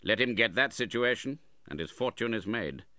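A man's voice: mid-range voice, lively intonation, moderate pace, pushed and bright, no echo, pitched above the performer's own voice. A man voicing a stern, miserly old uncle in a storybook, contemptuous and impatient. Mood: stern, brusque. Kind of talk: dramatised classic novel reading.

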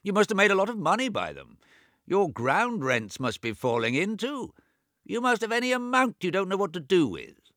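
Man putting on a nagging deaf old man, high and strained, fussy, quick, bright. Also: sing-song, no room sound, studio quality. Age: over 70. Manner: fretful, repetitive, nagging.

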